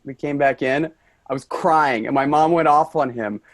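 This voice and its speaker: A man's voice: medium pitch, moderate pace, dry recording, close to an everyday voice. A man playing an earnest, rambling office worker, tipsy and brooding, in a staged play reading. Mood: wistful, tipsy.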